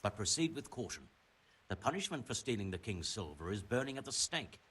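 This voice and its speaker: An actor playing a stern, middle-aged medieval mining chief in a video game, brusque and commanding, with formal wording. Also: male voice, on the low side, sing-song, fairly quick, fairly bright tone, slight background noise. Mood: businesslike, cautioning. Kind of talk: scripted video game dialogue. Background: music.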